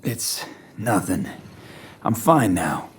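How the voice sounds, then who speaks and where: A young mercenary man from a video game, with a deep voice. He sounds weary and guarded, stubbornly playing down trouble in clipped sentences, over clear background ambience. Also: big pitch swings, slow pace, slight background noise. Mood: grim, weary. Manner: terse, understated, clipped sentences. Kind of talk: naturalistic video game acting.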